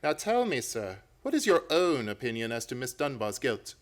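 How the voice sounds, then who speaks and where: An actor playing a brilliant, severe, self-assured English detective in a radio play, with a clipped, imperious, theatrical manner. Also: male voice, medium pitch, sing-song, normal speed, lightly acted. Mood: probing, cool.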